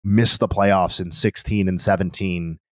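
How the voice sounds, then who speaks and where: A man's voice: sits low, steady tone, a little slow, no room sound. A man on a sports podcast panel, making long, considered points in casual discussion.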